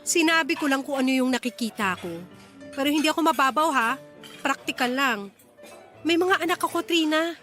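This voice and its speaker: A woman's voice: high-pitched, brisk, fairly bright tone, soft background music. A woman acting an irritated, picky young single mother with blunt, huffy retorts in a Filipino radio drama. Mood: dismissive.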